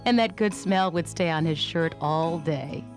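A woman's voice: sits low, unhurried, faint music behind. A woman reading scripted narration for a children's storybook. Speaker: storybook reader.

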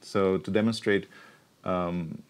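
Low-voiced man explaining in a studio. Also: steady tone, slow, smooth. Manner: thoughtful, explanatory, frequent um and restarts. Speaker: university researcher, keynote guest. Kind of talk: studio interview answers. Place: TV studio set. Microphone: lapel mic.

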